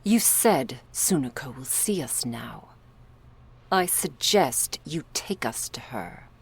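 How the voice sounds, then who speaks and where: Vampire bodyguard, played by a woman: deep female voice, unhurried, guarded and menacing, intimate ASMR roleplay delivery. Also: animated, vocal fry, husky, heavily acted. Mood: cold, threatening. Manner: cool, stern, clipped, often dropping to a whisper.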